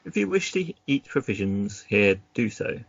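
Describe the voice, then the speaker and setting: Man, gravelly voice, game master, unhurried narration with casual asides, dull lo-fi recording. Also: lowish voice, big pitch swings, dry recording. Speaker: game master reading a gamebook aloud. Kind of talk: reading gamebook narration mixed with casual chat.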